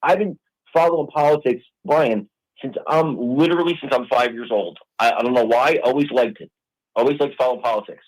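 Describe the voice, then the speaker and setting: A man's voice: mid-range voice, fairly quick, poor audio. A man in his forties, a blunt, streetwise guest arguing in a heated political debate. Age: 40s.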